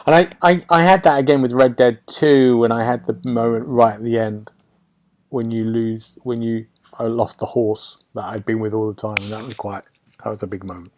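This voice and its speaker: A man's voice: mid-range voice, fairly even intonation, normal speed, darkish tone. Chatty man hosting a casual podcast. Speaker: podcast host. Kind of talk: casual gaming podcast chat.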